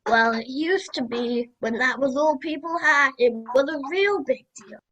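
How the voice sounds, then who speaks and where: A young woman in an amateur radio play, playing a gruff but playful old grandfather. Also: high-pitched, unhurried, a bit bright, a little hiss or hum, poor audio. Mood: patient, nostalgic. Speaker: student radio play performer.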